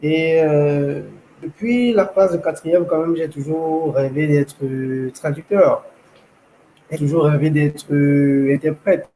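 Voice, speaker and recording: A young man from Cameroon, a language school founder, telling his story in an interview, measured and hesitant with many fillers. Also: on the high side, steady tone, mellow, a little hiss or hum, low-quality recording. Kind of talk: interview, personal account.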